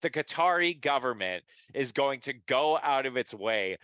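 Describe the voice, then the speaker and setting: Bright, animated sarcastic man. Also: medium pitch, moderate pace, clean tone, no room sound, muffled recording. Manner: sarcastic, profane. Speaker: podcast co-host. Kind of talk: casual chat.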